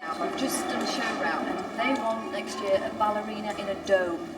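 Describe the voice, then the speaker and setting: A woman working as a wedding events manager, talking in a bubbly, brisk way while she works. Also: mid-range voice, echoey room. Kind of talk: on-the-job chat. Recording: distant voice, loud music and crowd.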